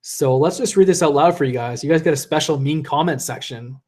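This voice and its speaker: A man's voice: on the high side, brisk, a little mellow, slightly rough. Rambling tipsy man on a livestream. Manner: rambling, loose and profane, reading chat aloud. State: tipsy from drinking. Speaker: livestream host. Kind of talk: casual live stream chat. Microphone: desk USB condenser mic.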